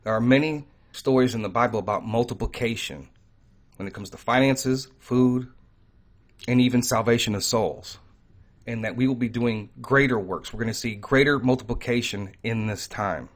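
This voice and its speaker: Male host, mid-range voice, casual preaching monologue, earnest and rambling. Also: mid-range voice. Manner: earnest, rambling, pauses to stress key words. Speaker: host of a faith and crypto channel.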